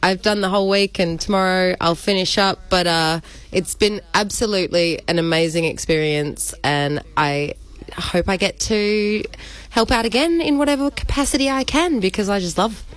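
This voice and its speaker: Brief: upbeat female radio presenter, eager and warm in chat, mid pitch. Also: normal speed, no echo. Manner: cheerful, enthusiastic. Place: radio studio.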